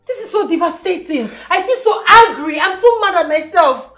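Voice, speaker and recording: A humiliated young princess in a film melodrama. Her voice is high and moves up and down widely, with petulant, emphatic repetition and a quick, vengeful temper. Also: animated, sharp tone, echoey room, faint music behind, exaggerated voice. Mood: outraged. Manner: emphatic, repeats herself, petulant.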